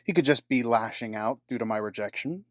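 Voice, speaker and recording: A man's voice: medium pitch, dry recording, lightly acted. Man playing a dry, close-sounding, scheming villain. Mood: cold, bitter. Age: middle-aged. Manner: formal, measured, sardonic, superior. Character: cold, scheming, menacing middle-aged businessman villain, grieving father. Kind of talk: audio drama acting.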